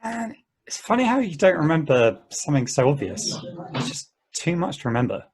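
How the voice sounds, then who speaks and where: A man's voice: a little high, big pitch swings, normal speed, noisy background. A man playing an easygoing husband chatting with friends in an amateur table read of a play. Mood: amiable.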